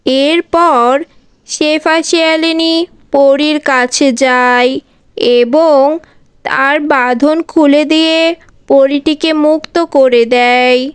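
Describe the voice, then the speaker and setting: A woman's voice: high voice, fairly even intonation, takes it slowly, dry recording, clean studio sound. A woman narrator reading a scripted children's story.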